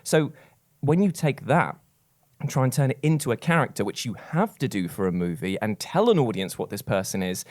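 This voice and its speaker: A man hosts a casual podcast discussion. His mid-pitched voice is very animated, swinging widely up and down as he talks. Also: brisk. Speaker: podcast host.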